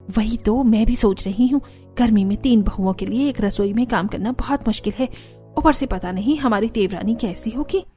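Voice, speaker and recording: A woman's voice: a little high, normal speed, dark tone, faint music behind, natural voice. A woman voicing a practical, grumbling elder daughter-in-law in a cartoon family story, matter-of-fact and slightly aggrieved. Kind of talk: animated family story dialogue.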